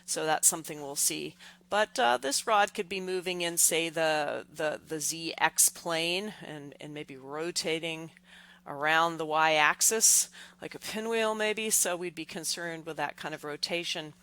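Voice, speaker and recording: Bright-voiced woman teaching step by step. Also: sits low. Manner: deliberate, halting phrasing with repeated words. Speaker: engineering instructor. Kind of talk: step-by-step lecture explanation.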